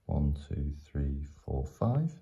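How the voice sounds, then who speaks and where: A man with a low, creaky voice gives a step-by-step maths lesson. He speaks slowly and patiently, repeating his points like a teacher explaining to a learner. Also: sing-song, warm tone, highs cut off.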